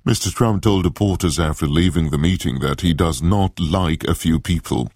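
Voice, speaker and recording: Deep-voiced male newsreader. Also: darkish tone, husky, no echo, studio quality. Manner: measured, formal delivery. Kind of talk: scripted news bulletin.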